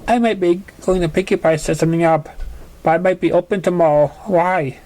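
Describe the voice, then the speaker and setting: A man voicing a gentle, sheepish grown female pegasus pony in a storybook reading. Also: sits high, steady tone, a little fast, natural voice. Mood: sheepish, casual.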